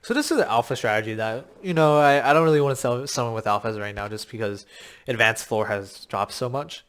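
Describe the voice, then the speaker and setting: A man with a slightly raspy, worn voice, coaching viewers on a crypto game in a rambling, filler-heavy pitch, a little short of breath. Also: fairly quick, fairly bright tone. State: short of breath from long talking. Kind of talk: casual talking-head explainer.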